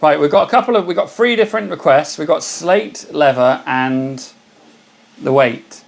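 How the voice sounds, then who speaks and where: Hoarse man hosting a live stream. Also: on the high side, moderate pace. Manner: chatty, greets viewers by name. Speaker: livestream host. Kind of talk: casual live-stream chat.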